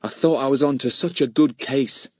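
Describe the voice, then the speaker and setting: A man's voice: medium pitch, normal speed, fairly bright tone, slightly rough, no room sound, pitched above the performer's own voice. A man voicing a decent, downtrodden village police constable in a children's audiobook, plain-spoken and modest in his phrasing. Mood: aggrieved.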